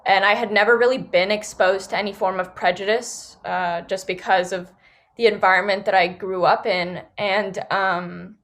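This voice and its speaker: A woman's voice: medium pitch, fairly even intonation, moderate pace, a bit bright. A young woman from Singapore and Los Angeles telling a personal story as a podcast panel guest, in casual youthful phrasing peppered with "like" and "you know".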